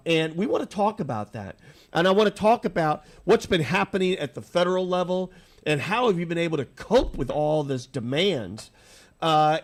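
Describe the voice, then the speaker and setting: A man hosting a radio talk show, a little nasal from allergies, with a voice pitched somewhat high for a man. He talks in an upbeat, rambling way, asking long questions in a studio. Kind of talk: casual radio interview.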